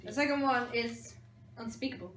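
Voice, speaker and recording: A woman's voice: fairly high pitch, moderate pace, fairly bright tone, slight background noise. A giggly woman making brief, casual interjections among friends at home. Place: indoors in a house.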